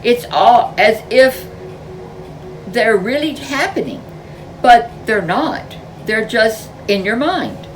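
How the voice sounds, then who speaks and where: A woman voicing a kindly father bear in a storybook, calm and reassuring as he explains. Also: on the high side, takes it slowly, a little hiss or hum, pitched above the performer's own voice, rough recording. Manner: calming, explanatory.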